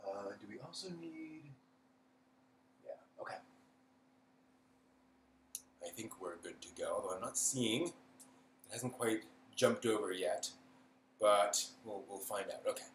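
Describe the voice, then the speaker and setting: A man's voice: lowish voice, animated, normal speed, fairly bright tone. A man hosting a casual, unscripted cooking live stream, thinking aloud in a hesitant way and trailing off as he works. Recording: roomy echo, background noise.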